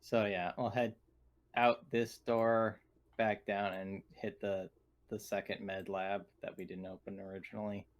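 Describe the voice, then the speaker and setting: Low-voiced, decisive male tabletop player. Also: flat delivery, measured pace. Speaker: player in a tabletop roleplaying game. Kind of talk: casual in-game discussion.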